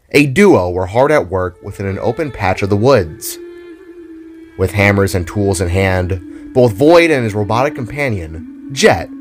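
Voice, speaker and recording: Expressive low-voiced male story narrator over music. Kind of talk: scripted dramatic story narration. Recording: music under the voice. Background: background music.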